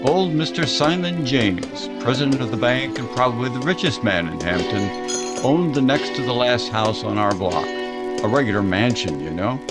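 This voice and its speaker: A man's voice: medium pitch, animated, moderate pace, over loud music, close to an everyday voice. A man acting as the narrator of a 1940s-style radio drama, recalling his boyhood in a conversational, hesitant way. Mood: reminiscent, wistful. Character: man fondly narrating a boyhood memory of a small-town tragedy.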